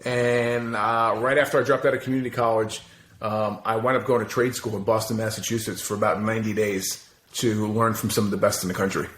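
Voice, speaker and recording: A man's voice: lowish voice, flat delivery, fairly quick, a bit bright. A man in his forties, a podcast guest, talking loosely in casual chat, swearing freely and laughing at himself. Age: 40s. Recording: roomy echo.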